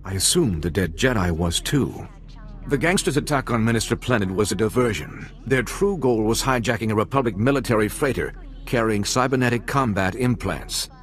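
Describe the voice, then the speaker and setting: An actor as low-voiced, formal male ambassador. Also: expressive, normal speed, lightly acted. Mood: grave. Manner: formal, diplomatic, earnest. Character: courteous, troubled male Republic ambassador. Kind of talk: video game voice acting.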